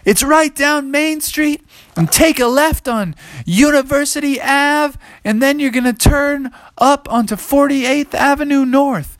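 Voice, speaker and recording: A storyteller voicing an excitable young frog boy and his pals in a children's story, slangy and chummy. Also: medium pitch, a little slow, no room sound, voice pushed up. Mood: thrilled.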